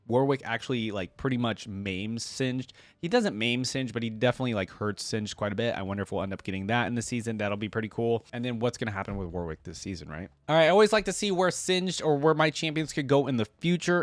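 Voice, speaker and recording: A man's voice: medium pitch, dry recording. A young man hosting a casual reaction video, talking in a rambling, emphatic, chatty way. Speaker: YouTube reaction host.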